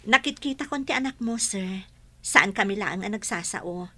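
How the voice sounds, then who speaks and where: A woman playing a sociable working mother and aunt figure in a radio drama, with an expressive, coaxing way of talking. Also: mid-range voice, moderate pace. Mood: friendly, deferential. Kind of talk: Ilocano radio drama acting.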